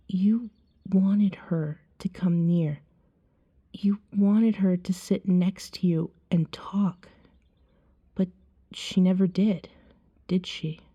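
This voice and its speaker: A woman playing a calm, seductive vampire in an ASMR roleplay, soothing and hypnotic, often slipping into a whisper. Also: fairly low pitch, gravelly, lo-fi sound, heavily acted. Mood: knowing, gentle.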